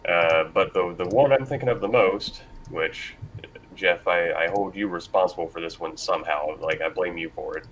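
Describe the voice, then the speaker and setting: A man's voice: sits low, normal speed, a bit bright, slight background noise, poor audio. A man moderating a casual panel discussion, speaking informally and a little hesitantly.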